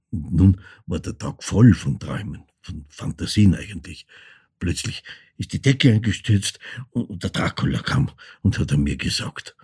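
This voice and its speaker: A haunted older man with an Austrian accent, delivering a dramatic literary monologue. The voice is low and very husky, with a gravelly creak. He speaks haltingly and intensely, as if confiding painful memories. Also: a little mellow, exaggerated voice. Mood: haunted, brooding. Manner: halting, intense, dropping into near-whispers. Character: haunted older man recalling his fatherless childhood. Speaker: actor performing a monologue.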